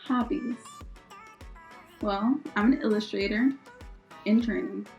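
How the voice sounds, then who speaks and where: A woman's voice: mid-range voice, steady tone, takes it slowly, vocal fry, darkish tone, lo-fi sound, soft background music. A young American woman chatting casually and informally as a podcast co-host, with exclamations and a giggly way of talking. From born in Israel, raised in Philadelphia, US. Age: young adult. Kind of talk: casual unscripted chat.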